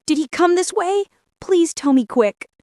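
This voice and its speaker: A frantic father rabbit from a children's storybook, acted out by a woman, pitched well up high. He pleads urgently and exclaims in distress. The sound is close and dry. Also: expressive, measured pace, warm tone, no room sound. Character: Benjamin Bunny, frantic young father rabbit. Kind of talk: storybook character voice.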